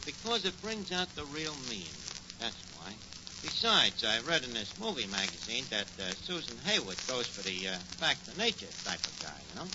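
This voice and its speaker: An actor playing a wisecracking tavern manager with a New York accent and slangy, mock-grand speech in old radio comedy. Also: male voice, mid-range voice, very animated, normal speed, lightly acted. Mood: mock-lofty. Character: scheming, wisecracking male tavern manager, malaprop-prone. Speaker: sitcom lead. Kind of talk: 1940s radio comedy acting. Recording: noisy, dull 1940s radio recording.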